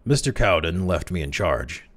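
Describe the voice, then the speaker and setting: A man's voice: sits low, animated, moderate pace, fairly bright tone. A man voicing a timid male clerk who answers in short, tense phrases. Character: timid male clerk finding his nerve.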